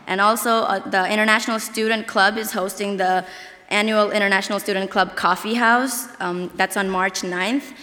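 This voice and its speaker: A woman's voice: mid-range voice, fairly even intonation, normal speed, sharp tone. A woman makes informal event announcements in a casual, loosely worded way. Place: large indoor hall, convocation. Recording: reverberant hall.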